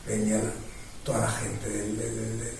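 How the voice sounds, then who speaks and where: A man's voice: lowish voice, monotone, vocal fry, a little hiss or hum. Reminiscing older man, echoey and distant. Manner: reminiscing, unhurried, warm laughs. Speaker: guest painter. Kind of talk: relaxed conversational interview. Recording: distant mic with heavy echo.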